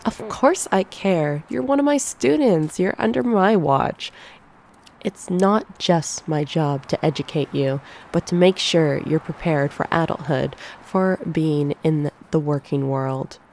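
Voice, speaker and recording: Low female voice, actress as teasing succubus teacher, nurturing, slow pace, soft ASMR roleplay, close binaural mic. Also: vocal fry, a little hiss or hum. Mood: earnest, encouraging. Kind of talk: ASMR roleplay, one-sided conversation. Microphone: close binaural microphone.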